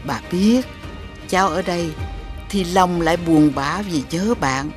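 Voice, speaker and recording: A woman voicing a kindly, lonely old witch grandmother in an animated fairy tale, gentle and doting. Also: fairly low pitch, unhurried, faint music behind, lightly acted. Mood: caring.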